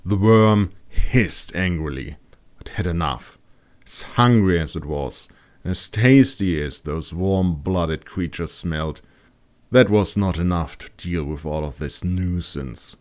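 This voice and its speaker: Very deep-voiced man narrating fantasy. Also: slow pace. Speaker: author and audiobook narrator.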